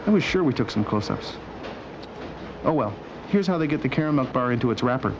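A man narrating a scripted documentary as host, with a wry, deadpan sense of humor. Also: mid-range voice, brisk. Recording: dull old video soundtrack with machinery noise.

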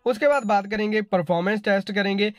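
A man's voice: high voice, fast talker, dry recording. A man hosts a casual tech review. He talks straight to his viewers and circles back over his points in a repetitive way. The sound is dry and close, with little room on it. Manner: repetitive, addresses viewers as guys. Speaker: tech reviewer, video host. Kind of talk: casual phone update review narration.

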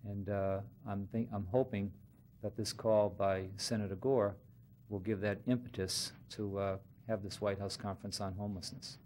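Middle-aged mayor, low voice, deliberate, lots of uhs; faint hum. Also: male voice, steady tone, a little mellow. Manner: deliberate, many uhs and repetitions. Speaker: mayor speaking for city officials. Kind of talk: press conference statement. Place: indoor press room.

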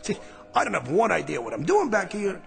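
An actor playing a brash, fast-talking man who claims to be going back in time, with a pushy, street-smart manner in a radio drama. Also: high voice, wildly swooping pitch, vocal fry, full character voice. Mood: scheming. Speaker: lead character. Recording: radio play with music.

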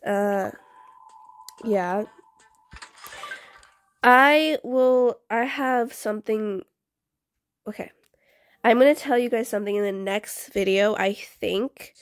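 A woman's voice: fairly high pitch, no echo. A young woman doing a casual, chatty house-tour voice-over for a game video, often interrupting herself in an informal way. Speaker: video creator.

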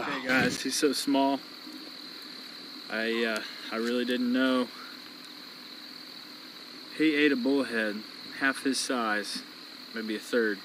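A man's voice: mid-range voice, noisy background. A young man hosting a fishing vlog from a riverbank, talking casually to the camera as he reels in fish. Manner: relaxed, thinking aloud while reeling in fish. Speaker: fishing channel host. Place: riverbank outdoors, day into night. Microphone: action camera on the body.

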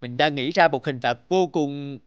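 A man's voice: fairly high pitch, moderate pace, clear, resonant tone, no room sound, highs cut off, close to an everyday voice. A man voicing a confident, teasing teenage boy class monitor in a cartoon drama, articulate and self-assured. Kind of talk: animated drama dubbing.

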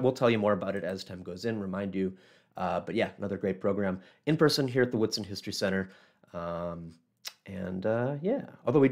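Hoarse man hosting a history livestream. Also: sits low. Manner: rambling, many ums and restarts. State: hoarse, scratchy voice from a cold. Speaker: local history host. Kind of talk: casual livestream talk.

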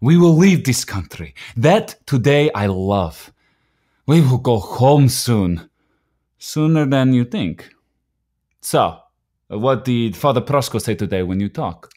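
A man acting in a radio play as a proud, hot-tempered Slovak immigrant husband, with a Slovak accent and halting English. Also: mid-range voice, expressive, a little mellow. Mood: hopeful, earnest. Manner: halting English, slow and deliberate.

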